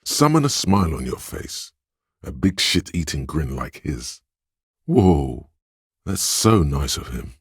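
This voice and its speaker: A man voicing a suave, coaxing inner voice of persuasion in a video game, with a husky, insinuating, knowing delivery. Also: extremely low pitch, very animated, slow pace, dry recording, studio quality, full character voice. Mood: gently guiding, then sly, wry. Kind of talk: video game voice acting, advisory narration.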